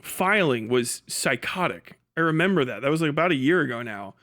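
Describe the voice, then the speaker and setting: A man's voice: fairly high pitch, animated, brisk, a bit bright. A man hosting a casual livestream, rambling bluntly with lots of profanity and muttered asides to himself and chat. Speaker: livestream host.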